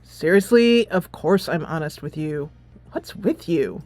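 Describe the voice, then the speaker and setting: A woman's voice: lowish voice, animated, a little slow. A woman voicing a cool, unbothered, rebellious teenage boy in a game, laid-back and offhand. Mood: offhand, unimpressed. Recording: soft music behind the voice.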